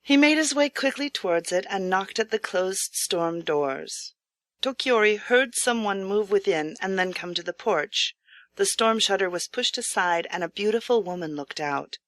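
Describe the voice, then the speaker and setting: A woman reading a scripted folk tale as an audiobook narrator, in a measured, expressive storytelling manner. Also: mid-range voice, no echo.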